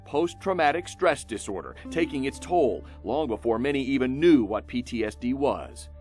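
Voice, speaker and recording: An American male reporter tells a human-interest story in scripted, dramatic fashion. His pitch moves in lively sweeps through short, emphatic phrases and pauses. Music plays softly under his voice. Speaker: news reporter narrating a feature story.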